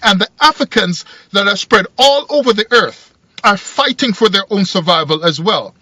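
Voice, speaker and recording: A man's voice: high-pitched, animated, normal speed, bright, forceful tone, no echo. A man in his forties who grew up in Jamaica, musing aloud to camera from inside a parked car, rambling and repeating himself as he thinks. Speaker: video commentator. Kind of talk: casual monologue to camera. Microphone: phone or camera on the dashboard. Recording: dull lo-fi sound in a car.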